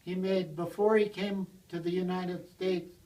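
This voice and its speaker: Older man, slightly high voice, rambling blacksmith storyteller, recorded from across the room on a camera. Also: level tone, unhurried, a little mellow. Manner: rambling, chuckles as he tells it. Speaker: blacksmith telling stories. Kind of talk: casual storytelling to a small group. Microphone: handheld video camera. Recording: distant from the mic, roomy.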